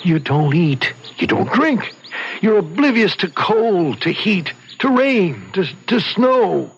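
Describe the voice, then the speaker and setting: An actor playing a raving, insistent old man with grand schemes in a 1970s radio drama, his voice creaky. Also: high-pitched, big pitch swings, heavily acted. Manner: halting, insistent explaining. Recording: muffled vintage radio broadcast, faint hiss.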